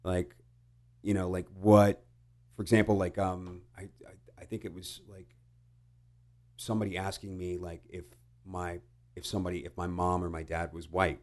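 Low-pitched American man, halting and reflective. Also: little pitch movement, darkish tone. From United States. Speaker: podcast guest. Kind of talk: casual conversational storytelling.

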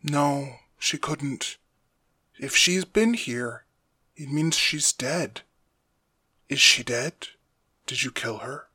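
Captive elder god for audio drama: male actor, unhurried, measured, calculating, dry intimate sound. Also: mid-range voice, husky, dry recording, heavily acted. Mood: quietly probing. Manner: quiet, measured, deliberate. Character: calm, weary, calculating dead father god in captivity.

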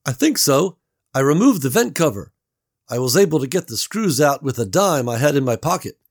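A man's voice: medium pitch, very animated, a little fast, slightly rough, voice pushed up. A man voicing Gary, a nervous, eager young man trapped in an air vent, who talks earnestly and runs himself down. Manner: earnest, anxious, self-deprecating.

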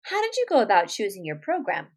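A woman hosting a podcast interview, reading prepared questions in a measured way. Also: mid-range voice, wildly swooping pitch, darkish tone, slightly rough. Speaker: podcast host.

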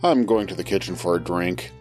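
A man's voice: deep voice, a little fast, darkish tone, faint music behind, close to an everyday voice. A man playing a precise, long-suffering academic type in an audio drama, with a dry delivery. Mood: dry, exasperated.

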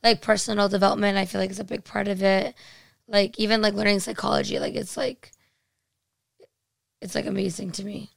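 A young woman guest in a casual chat, her voice raspy, talking loosely with lots of "like". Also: medium pitch, little pitch movement, fairly quick, long pauses, dry recording. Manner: chatty, full of "like".

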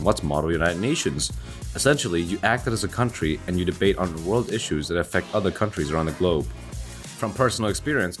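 A male student host reading scripted questions and announcements in a presenter's style. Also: deep voice. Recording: music behind the voice.

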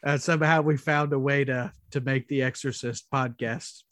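A man co-hosting a casual podcast, chatting and reading out trivia in a halting way. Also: medium pitch, fairly even intonation, fairly bright tone, no room sound, studio quality. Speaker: podcast co-host.

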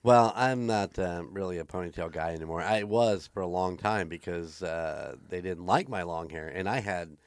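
A man's voice: deep voice, normal speed, few pauses, dry recording, studio quality. A man co-hosting a podcast, chatting casually and joking, rambling with restarts. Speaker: podcast co-host. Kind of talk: casual chat.

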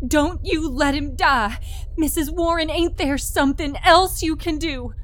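A desperate young country woman voiced by a woman narrator pleads in folksy dialect. Her pitch is raised and urgent, in the style of an audio drama. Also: measured pace, fairly bright tone. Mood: desperate, pleading.

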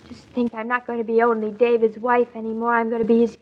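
An actress playing a naive, talkative young expectant wife in an old-time radio drama, artless and questioning. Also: female voice, on the high side, completely flat delivery, fast talker, close to an everyday voice. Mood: anxious, wistful. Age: young adult. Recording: dull, lo-fi old radio recording.

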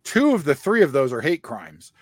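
A man in his fifties trades dry, sarcastic quips on a casual livestream. Voices chatter clearly in the background. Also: a little high, huge pitch swings, normal speed. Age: 50s.

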